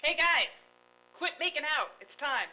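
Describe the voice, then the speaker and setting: Woman acting protective scrappy heroine, high sharp bright voice, blunt casual delivery, conspiratorial, audio drama. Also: expressive, measured pace, full character voice, low-quality recording. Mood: upbeat, secretive. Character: scrappy, determined, protective woman leading friends into trouble.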